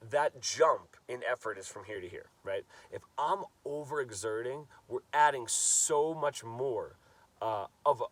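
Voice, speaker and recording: A man's voice: mid-range voice, no room sound, distant mic. A man with a stuffy nose from a cold, teaching a casual guitar lesson, talking loosely and repeating words as he demonstrates. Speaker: guitar coach.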